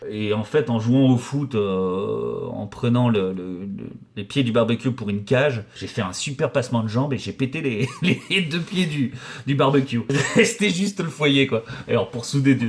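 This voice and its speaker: Joking French man in his forties. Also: on the low side. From Paris region, France. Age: 40s. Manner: joking, crude, rambling with many fillers. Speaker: painter and decorator answering viewer questions. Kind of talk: casual vulgar chat.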